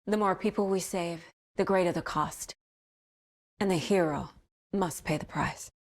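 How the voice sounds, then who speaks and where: A woman's voice: lowish voice, measured pace, no echo. An actress voicing a determined, intense woman FBI agent in dramatic video game dialogue. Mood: grim, resolute.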